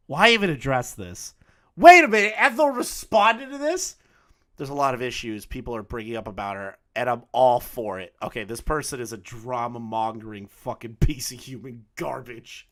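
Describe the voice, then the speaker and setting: A man's voice: mid-range voice, sing-song, moderate pace, a bit bright. A man hosting a reaction commentary, blunt and profane as he mocks the clip. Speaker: commentary channel host.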